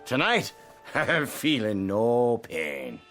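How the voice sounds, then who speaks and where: A man's voice: medium pitch, big pitch swings, very slow, a bit bright, faint music behind, heavily acted. An actor playing a genial, tipsy policeman in a radio drama. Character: genial, tipsy Irish policeman heading home.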